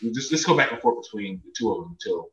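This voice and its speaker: Animated man, echoey and distant sound. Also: fairly low pitch, fast talker. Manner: emphatic, repeats words for effect. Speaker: podcast guest, sports executive. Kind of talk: casual sports banter. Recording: dull, roomy remote connection, distant mic.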